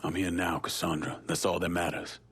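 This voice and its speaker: A deep-voiced, blunt Southern war veteran. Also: male voice, level tone, brisk, a bit bright, a little hiss or hum. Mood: firm, indignant. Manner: blunt, guarded, profane. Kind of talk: naturalistic video game cutscene acting.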